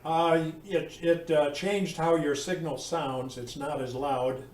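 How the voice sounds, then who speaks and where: A man chatting casually as an amateur radio operator, speaking haltingly with many pauses. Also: fairly high pitch, steady tone, darkish tone. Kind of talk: casual ham radio chat. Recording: roomy, distant mic with faint noise.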